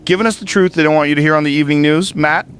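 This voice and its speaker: Actor as brash, higher-voiced male talk radio host. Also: rapid-fire, fairly bright tone, slight background noise, close to an everyday voice. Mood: brash, warning. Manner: informal, punchy. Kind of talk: casual radio talk show in audio drama.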